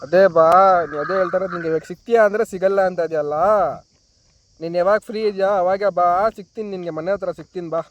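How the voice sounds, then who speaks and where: A young man talks quickly in a casual phone conversation. He sounds evasive and circles back over the same phrases. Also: high voice, steady tone, muffled recording. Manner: evasive, repetitive. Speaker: prank caller.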